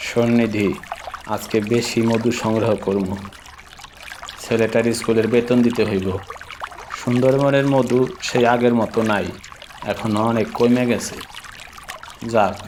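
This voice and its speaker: A man's voice: mid-range voice, fairly even intonation, measured pace, pauses often, lightly acted. A man voicing a poor, hardworking middle-aged father in a cartoon, bossy and colloquial, with a Bangladeshi accent. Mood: bossy, earnest. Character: poor, hardworking middle-aged honey collector, gruff but devoted father. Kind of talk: cartoon voice acting. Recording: clear background noise.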